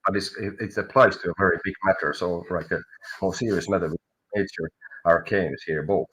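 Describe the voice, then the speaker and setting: Husky man with a Finnish accent. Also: deep voice, fairly even intonation, a bit bright, low-quality recording. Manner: halting, searches for words. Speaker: tarot reader. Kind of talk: live tarot reading.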